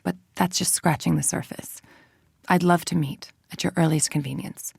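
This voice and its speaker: A woman's voice: on the low side, fairly even intonation, moderate pace, creaky, breathy edge, no echo, clean studio sound, heavily acted. An actress playing a cold, calculating villainous woman scientist in a video game, speaking in a clinical, articulate, controlled and formal way. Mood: courteous, persuasive. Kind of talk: scripted game voice-over, audio log monologue.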